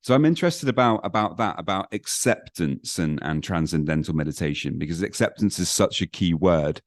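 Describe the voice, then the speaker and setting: A man hosting a conversational podcast interview, speaking haltingly and circling back over his words. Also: low-pitched, expressive, no room sound, studio quality. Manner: halting, rambling with repeated words. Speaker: podcast host.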